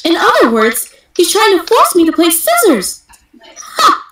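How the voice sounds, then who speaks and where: Casting: proud anime noblewoman, fiery and indignant, very high voice with lively swings, roomy sound. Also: a little slow, exaggerated voice. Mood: scheming, smug. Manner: indignant, shrill, dramatic outbursts. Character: hot-tempered, proud young noblewoman who wants the kingdom. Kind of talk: anime fandub script reading.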